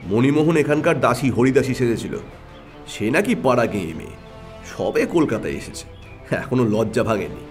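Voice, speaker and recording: A man's voice: medium pitch, animated, normal speed, soft background music, pitched below the performer's own voice, close to an everyday voice. A man voicing a shrewd, decisive detective in an audio story, with a stern, assertive manner. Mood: confident, explaining. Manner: assertive, explanatory.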